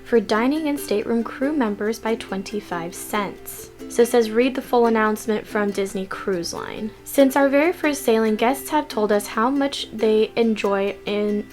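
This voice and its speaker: Hushed young woman vlogging with music under. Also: normal speed, few pauses. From California. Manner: hushed and lowered to stay quiet, stumbling over words while reading. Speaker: vlog host. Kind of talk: casual news vlog, reading articles aloud. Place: messy bedroom at home. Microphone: desk mic seen in corner of frame. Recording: background music playing under voice.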